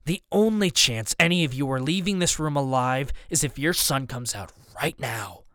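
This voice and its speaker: A cold, menacing villain played by a male audiobook narrator gives orders with mocking control. The voice is clear and smooth, recorded very dry. Every phrase carries a threat. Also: medium pitch, lively intonation, normal speed, fairly bright tone, no room sound, close to an everyday voice. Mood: threatening. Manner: mocking, commanding. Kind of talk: audiobook character dialogue.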